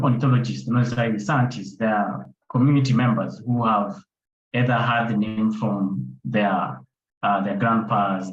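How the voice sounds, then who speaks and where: A man speaking as a conservation photographer on an online panel, repeating words for emphasis. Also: lowish voice. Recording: roomy, dull sound with faint noise.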